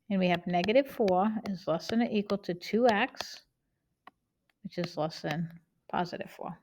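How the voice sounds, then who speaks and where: A woman with a Boston accent teaching a math lesson, explaining each step plainly and checking in with "okay?". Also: mid-range voice.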